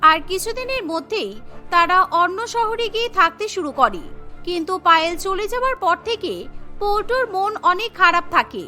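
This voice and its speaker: A woman's voice: very high voice, expressive, moderate pace, sharp tone, faint music behind. A woman narrating a scripted children's cartoon story as its storyteller.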